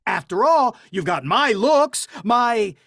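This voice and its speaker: A woman's voice: a little high, big pitch swings, a bit bright, dry recording, clean studio sound, full character voice. A woman playing an exasperated, blustering middle-aged father in a vintage-style radio play. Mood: pleading, stern. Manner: blustery, quick to give up in frustration.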